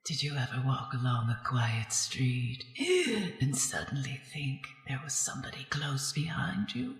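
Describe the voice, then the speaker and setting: A woman's voice: very deep voice, measured pace, vocal fry, exaggerated voice. An actress playing a menacing, bloodthirsty undead female ghost in a radio horror drama, theatrical and commanding, often dropping into whispers. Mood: sinister, hushed. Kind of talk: old-time radio horror drama acting. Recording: very echoey reverberant hall, music underneath.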